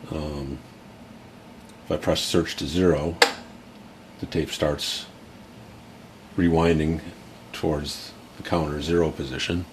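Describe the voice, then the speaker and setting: Very deep-voiced man explaining a tape deck. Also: slow, pauses often, background noise. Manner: relaxed, explanatory, many fillers. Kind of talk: casual product demonstration.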